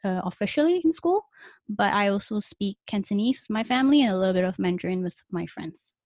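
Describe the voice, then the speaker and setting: A woman's voice: medium pitch, expressive, a little fast, darkish tone, no room sound, studio quality. A young woman from Malaysia, speaking Malay as a first language, chatting easily in an interview with lots of fillers. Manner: chatty, many fillers and small laughs. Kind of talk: casual conversational interview.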